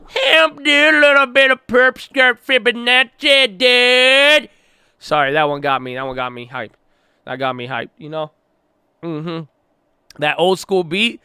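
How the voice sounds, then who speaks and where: A man hosting a trading channel, talking in an excitable, slangy, casual way. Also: high voice, measured pace, smooth, dry recording, clean studio sound. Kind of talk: casual hyped vlog talk.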